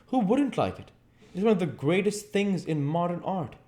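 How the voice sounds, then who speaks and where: A man voicing a witty, languid aristocratic gentleman with an airy, drawling manner. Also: high-pitched, sing-song, normal speed, voice pushed up. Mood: amused.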